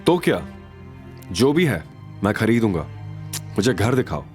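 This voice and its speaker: A man voicing a calm, confident, determined male hero in an audio drama, firm and assured. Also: fairly low pitch, unhurried, soft background music. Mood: firm, defiant.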